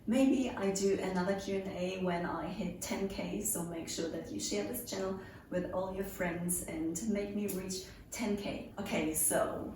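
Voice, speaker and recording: A woman's voice: medium pitch, fairly even intonation, measured pace, distant mic. Upbeat woman in a very echoey room. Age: 20s. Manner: chatty and upbeat, joking with viewers. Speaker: pole dance instructor. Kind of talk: casual Q&A vlog. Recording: very echoey room, voice from afar, faint hiss.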